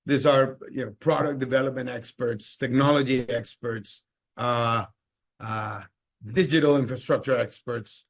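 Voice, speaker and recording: Halting male executive explaining results. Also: mid-range voice, steady tone, gravelly. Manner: halting, repeats words. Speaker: company executive. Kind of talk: earnings call answers, explanatory.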